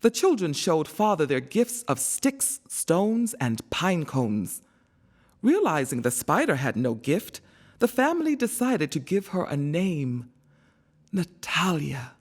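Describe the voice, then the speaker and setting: A woman narrating a scripted children's storybook in an expressive, measured storytelling manner. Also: deep voice.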